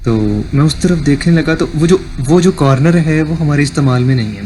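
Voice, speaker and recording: A man from Punjab with a Pakistani accent, casually telling a first-person story in halting phrases as a radio listener. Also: a little high, darkish tone, a little hiss or hum. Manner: halting phrases, switches into English. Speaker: listener sending an audio story. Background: background music.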